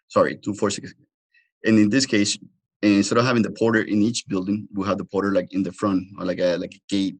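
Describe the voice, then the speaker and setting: A man presenting an informal technical webinar as a product trainer, explaining haltingly with frequent restarts and repetitions. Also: deep voice, a little mellow, poor audio.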